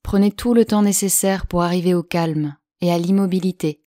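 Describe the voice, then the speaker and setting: A woman guiding a yoga nidra relaxation in French, speaking slowly and calmly with long pauses. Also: on the low side, dry recording, studio quality. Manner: slow, calm, soothing delivery with long pauses. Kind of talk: guided relaxation instruction.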